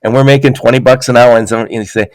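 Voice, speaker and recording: A man hosting a podcast interview, telling stories in a lively way and laughing often. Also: medium pitch, rapid-fire, dry recording. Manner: animated storyteller, laughs often. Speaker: podcast host. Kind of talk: casual interview chat.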